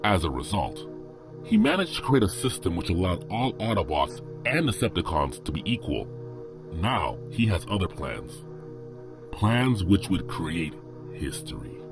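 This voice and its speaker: Deep, dramatic male narrator over music. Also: wildly swooping pitch, unhurried, gravelly. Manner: solemn, dramatic.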